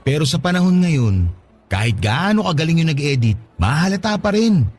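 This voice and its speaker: Earnest, animated young Filipino suitor. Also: male voice, fairly high pitch, normal speed. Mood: doubtful, uneasy. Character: earnest, accommodating but doubtful young suitor. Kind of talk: Tagalog radio drama acting.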